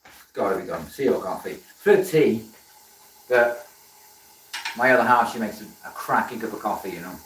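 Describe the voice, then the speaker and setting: A man's voice: fairly low pitch, animated, moderate pace, long pauses, a bit bright, reverberant, distant mic, slight background noise, low-quality recording. A man with a South Welsh accent chatting casually while he works, greeting viewers by name with plenty of fillers and a friendly manner. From Wales. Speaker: woodturning livestream host. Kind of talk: casual live chat while working. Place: workshop with a running lathe.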